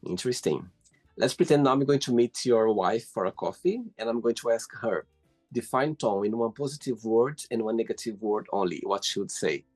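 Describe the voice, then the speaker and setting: A man from Brazil, a native Portuguese speaker, hosting a casual interview in English with an effusive, animated manner. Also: fairly low pitch, a little fast, soft background music. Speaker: host. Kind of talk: casual video call interview.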